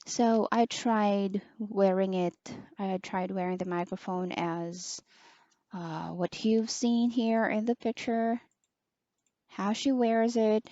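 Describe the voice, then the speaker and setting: A young woman with a soft, low voice, slowly reviewing a headset as an online teacher, pausing often with fillers. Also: fairly even intonation, no echo, muffled recording. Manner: slow, hesitant, many pauses and fillers. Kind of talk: casual product review.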